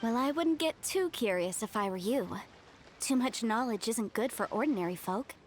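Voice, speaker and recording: A woman playing a composed, guarded female funeral parlor attendant in a video game, measured and cautioning. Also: a little high, lively intonation, a little hiss or hum, lightly acted. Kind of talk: video game character acting.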